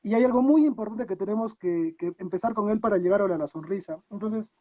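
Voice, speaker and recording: A man's voice: sits high, fast talker, dark tone, no room sound, lo-fi sound. A man with a Peruvian accent, a guest on a video call, talking reflectively and asking himself many rhetorical questions. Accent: Peruvian Spanish. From Peru. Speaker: guest coach. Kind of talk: casual interview talk.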